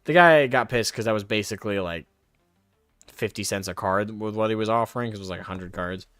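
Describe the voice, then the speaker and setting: A man's voice: lowish voice, brisk, a bit bright, clean tone, dry recording, faint music behind. A man streaming casually, reading card text aloud and drifting into rambling personal stories. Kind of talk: casual live stream chat.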